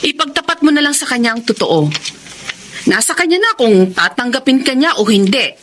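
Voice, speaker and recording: An actress playing a frank, excitable young confidante in a radio drama, exclamatory and reproachful. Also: female voice, sits high, moderate pace, slight background noise. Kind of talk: melodramatic Tagalog radio drama acting.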